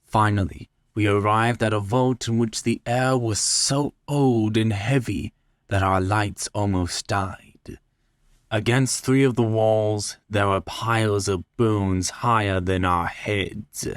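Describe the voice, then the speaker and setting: A man narrates a story with dramatic, measured delivery. His voice is fairly low and very slow, recorded dry and close with no room sound. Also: fairly even intonation, no echo. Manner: deliberate, measured. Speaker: story reader.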